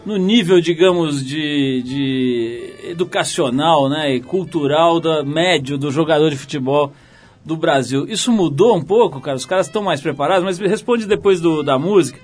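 A man's voice: on the high side, moderate pace. A man hosting a casual radio interview, joking and laughing as he asks questions. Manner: jokey, laughs while asking. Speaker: radio show host.

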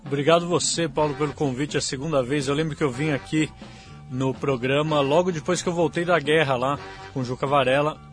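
A man's voice: fairly high pitch, fairly even intonation, brisk, faint music behind. A man with a Brazilian Portuguese accent, a journalist guest telling his story plainly and matter-of-factly. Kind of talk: interview answers.

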